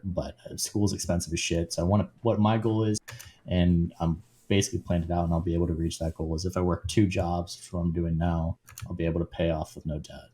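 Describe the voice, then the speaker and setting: This is a twenty-year-old man with a low voice, and his tone is warm and mellow. He chats easily on a podcast and often restarts his sentences as he goes. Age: 20s. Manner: rambling with restarts, easygoing. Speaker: community leader and guest. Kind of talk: casual podcast chat.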